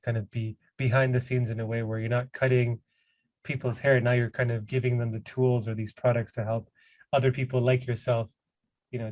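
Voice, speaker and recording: A man hosting a podcast, speaking at a middle pitch with a fairly level, even delivery. He talks casually and loosely, full of little fillers. The sound is dry and close, with no room echo. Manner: relaxed, casual, uses fillers like "you know". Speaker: podcast host. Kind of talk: podcast interview.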